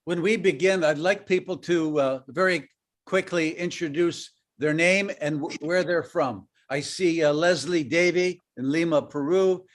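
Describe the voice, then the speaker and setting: A man on a video call, telling a joke and a story in short phrases, pausing for an interpreter. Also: fairly high pitch. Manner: short phrases paused for translation, light joking. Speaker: guest speaker. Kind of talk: casual talk through an interpreter.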